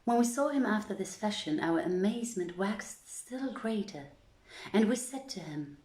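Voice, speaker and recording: A woman reading an old literary tale aloud in a deliberate, theatrical storytelling manner with measured phrasing. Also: medium pitch, expressive, a little mellow. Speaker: storyteller, reader. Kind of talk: dramatic scripted storytelling, archaic literary narration. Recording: roomy, echoing voice recording.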